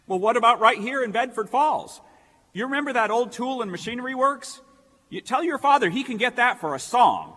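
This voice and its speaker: A man's voice: extremely high pitch, lively intonation, fairly quick, bright, forceful tone, exaggerated voice. An actor playing an agitated young small-town man in a stage radio play. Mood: defensive, eager. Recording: echoey theatre hall, faint music behind.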